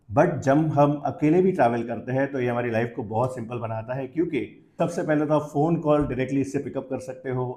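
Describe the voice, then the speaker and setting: A man's voice: mid-range voice, warm tone. A man with an Indian accent giving casual, improvised unboxing commentary as host, switching freely between two languages mid-sentence. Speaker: host of a product unboxing channel.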